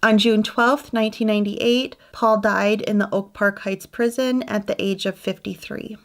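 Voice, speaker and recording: A woman's voice: mid-range voice, fairly even intonation, moderate pace. A woman narrating a true crime story in a conversational way, with musing personal asides. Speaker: true crime channel host.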